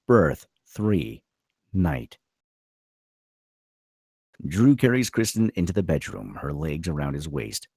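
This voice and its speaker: A man's voice: very deep voice, creaky, a little mellow, slightly rough, no room sound, clean studio sound. A man narrating stage directions as scripted narration at a table read.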